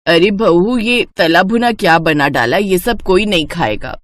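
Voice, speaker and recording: A woman voicing a brusque, demanding mother-in-law in an animated family story. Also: on the low side, a little slow, no echo. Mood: bossy, dismissive. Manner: brusque, bossy.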